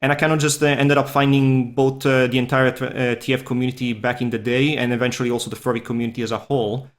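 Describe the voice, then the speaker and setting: A man's voice: medium pitch, flat delivery, quick pace. A man with a Swiss Italian accent, a non-native English speaker whose first language is Italian, chatting as a podcast guest in an easygoing, rambling, self-deprecating way. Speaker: podcast guest, furry artist. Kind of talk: casual interview chat.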